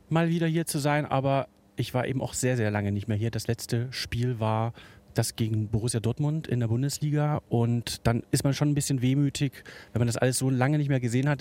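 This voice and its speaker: Quick-talking man in his thirties. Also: medium pitch, a little mellow. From Dresden, Germany. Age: 30s. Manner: earnest, reflective, with hesitations. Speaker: guest, football fan. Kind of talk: interview storytelling.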